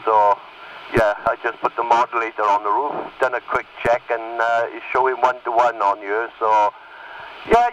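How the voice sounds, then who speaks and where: A man chatting casually over CB radio in operator's jargon. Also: mid-range voice. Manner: uses radio jargon and call-back phrases. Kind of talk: casual radio net chat. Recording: distant, noisy CB radio transmission.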